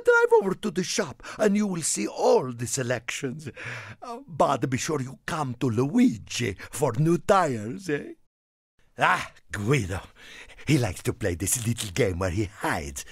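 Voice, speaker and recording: A man voicing a small, excitable, proud Italian car in a video game, with an Italian accent and theatrical broken English. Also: a little high, slightly rough, dry recording, studio quality, heavily acted. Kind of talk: animated video game character lines.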